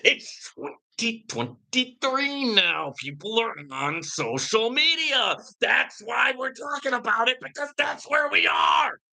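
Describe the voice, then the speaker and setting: A man's voice: very high voice, animated, takes it slowly, vocal fry, few pauses, bright, forceful tone, shouting. A man hosting a live question-and-answer stream, talking in an emphatic, preachy way and often tacking "right?" onto his points. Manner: peppers talk with "right?" and "man". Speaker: livestream host. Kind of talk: live Q and A commentary, ranting.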